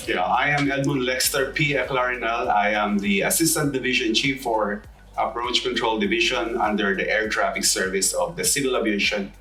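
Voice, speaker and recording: A man's voice: fairly low pitch, normal speed, pushed and bright, echoey room, far from the mic, poor audio. A man, an air traffic control official, speaking briefly and matter-of-factly.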